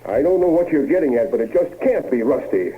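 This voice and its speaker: An earnest, troubled rancher played by a man exclaims in a very expressive mid-range voice. It is old radio western acting. The recording is narrow and boxy with some noise. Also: fairly high pitch, brisk, close to an everyday voice. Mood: worried. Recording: muffled old radio tape rip.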